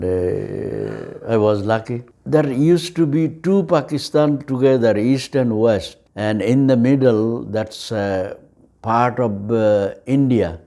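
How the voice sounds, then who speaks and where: A man in his seventies with a Bangladeshi accent and Bengali as his first language, recalling his life in an interview in halting, hesitant, simple phrases with repeated words. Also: lowish voice, creaky, dark tone. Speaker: oral history interviewee. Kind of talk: recollection in an interview.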